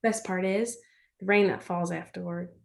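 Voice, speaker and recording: Gentle American farm girl, played by a woman, folksy and comforting, for a stage drama reading. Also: lowish voice, fairly even intonation, moderate pace, creaky. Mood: soothing. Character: gentle, chatty rural girl, playful and comforting.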